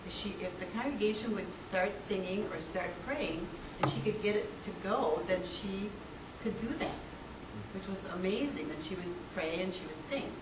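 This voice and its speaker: A woman chatting in a relaxed group discussion, telling stories and laughing easily. Her voice comes from a distance in an echoey room. Steady noise runs underneath. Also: mid-range voice. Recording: echoey room from far off, noisy.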